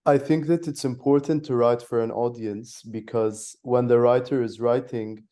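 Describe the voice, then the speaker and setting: A nineteen-year-old man giving a measured, articulate view in a student podcast discussion. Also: medium pitch, steady tone, warm tone. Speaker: student panelist.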